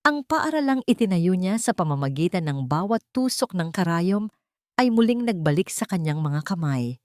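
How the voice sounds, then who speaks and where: Filipino lady reading a drama audiobook, pretty low voice, really dry recording. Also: big pitch swings, moderate pace, a little mellow, no room sound. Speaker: audiobook narrator. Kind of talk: scripted dramatic story narration.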